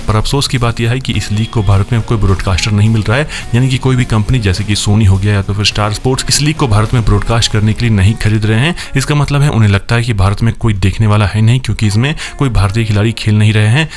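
Fast-talking man reading cricket news. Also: fairly low pitch. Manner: rapid, steady newsreader delivery addressing viewers as friends. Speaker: cricket news channel host. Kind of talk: scripted news roundup voice-over.

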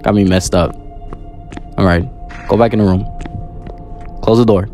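A man's voice: lowish voice, steady tone, normal speed, darkish tone, soft background music. A young man giving casual, joking, exclamatory commentary on a horror game in slangy speech. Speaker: gamer making videos.

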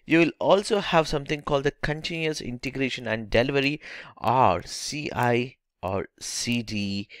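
A man giving a technical slide lecture as an instructor, halting, with frequent filler phrases and repeated words. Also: medium pitch, dry recording, clean studio sound.

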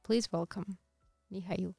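Slow-paced young woman with creaky voice. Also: fairly low pitch, fairly even intonation, darkish tone, no room sound, faint music behind. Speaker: podcast host. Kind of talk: interviewing a guest. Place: small curtained podcast studio. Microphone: close studio mic on boom arm.

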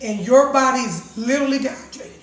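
Raspy-voiced woman chatting in a kitchen. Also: high voice, fairly quick, slight background noise. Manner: chatty, repeats herself, addresses viewers as guys. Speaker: juice vlogger. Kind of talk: casual live chat. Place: home kitchen. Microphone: phone camera across the counter. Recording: roomy kitchen echo.

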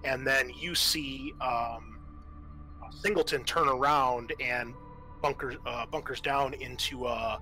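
A man narrating a tabletop roleplaying game as game master, explaining things in a halting, improvised way. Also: mid-range voice, fairly even intonation, bright, forceful tone, faint music behind, poor audio.

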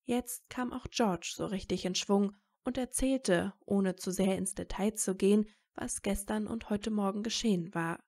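A woman's voice: medium pitch, unhurried, few pauses, darkish tone. A woman reading scripted narration for a fan fiction audio story.

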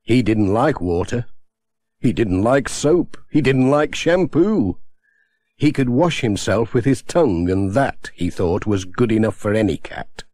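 Slow, lively man reading a storybook. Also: fairly low pitch, no echo. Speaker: audiobook narrator.

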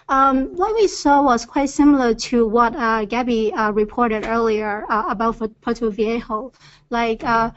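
A woman presenting engineering field observations on a webinar, with frequent hesitant fillers. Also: a little high. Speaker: earthquake reconnaissance presenter. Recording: dull lo-fi webinar audio.

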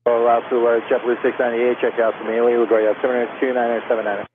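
A man's voice: medium pitch, flat delivery, rapid-fire, phone-quality sound. A man working as an air traffic controller, giving clipped procedural instructions over aviation radio. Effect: aviation radio transmission.

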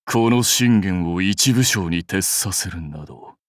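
A proud samurai warlord from an anime game, commanding and loyal. He declaims in grand old-fashioned warrior speech at a slow, weighty pace, with pitch that swings widely. The sound is dry and close. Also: expressive, no room sound, exaggerated voice. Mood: battle-ready, commanding.

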